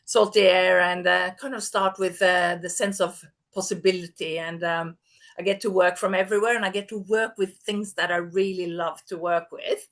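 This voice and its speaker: A woman's voice: fairly low pitch, fairly even intonation, normal speed, a bit bright. A woman, presenting an online workshop over a video call in an upbeat, chatty way. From Norway. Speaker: masterclass presenter.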